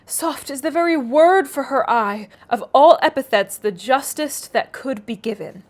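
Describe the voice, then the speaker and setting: Woman playing a high-voiced, smug, clever young gentlewoman. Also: expressive. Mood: pleased, amused. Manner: knowing, brisk, condescending, precise. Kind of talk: classic novel read aloud.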